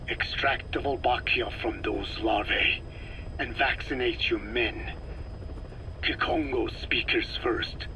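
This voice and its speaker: An actor playing a grave, cryptic old scientist in a video game, with a breathy, raspy voice and formal, deliberate phrasing. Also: male voice, mid-range voice, natural voice. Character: frail, ancient scientist, grave and cryptic elder. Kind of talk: dramatic video game voice acting, expository. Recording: clearly noisy taped field recording.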